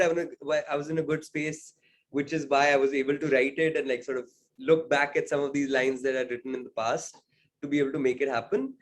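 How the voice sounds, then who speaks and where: A young man, a singer-songwriter, answering interview questions in a reflective, casual way, often pausing with fillers. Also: mid-range voice. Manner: reflective, many fillers like "like" and "I mean".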